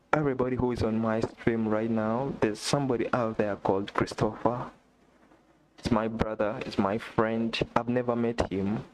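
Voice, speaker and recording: A man from Uganda, not a native English speaker, chatting excitedly on a live stream, repeating himself and talking straight to a viewer. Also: fairly low pitch, slight background noise, low-quality recording. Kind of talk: casual live-stream chat. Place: indoor room.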